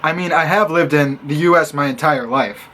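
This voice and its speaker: A young man from Illinois, a college student, telling stories in a casual vlog with animated sarcasm. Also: a little high, normal speed. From Illinois, USA. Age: young adult. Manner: animated and sarcastic, acting out others' lines.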